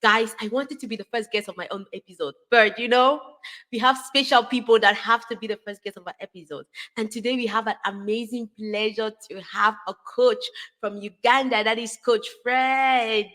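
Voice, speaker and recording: A woman's voice: fairly high pitch, fairly even intonation, moderate pace, a bit bright. A woman hosting an interview podcast, effusive and repetitive as she addresses guest and listeners. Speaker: podcast host.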